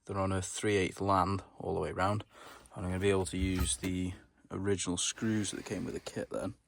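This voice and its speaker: A man with a low-pitched voice presents a hands-on DIY job. He talks informally, thinking aloud as he goes, in the casual style of a home mechanic explaining each step. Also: little pitch movement, brisk, creaky, slight background noise. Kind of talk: casual hands-on how-to narration.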